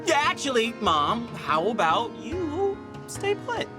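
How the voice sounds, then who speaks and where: A man's voice: very high-pitched, wildly swooping pitch, slow, over music, exaggerated voice. A man playing a breezy, confident, teasing young prince in a video game cutscene, casual and jaunty.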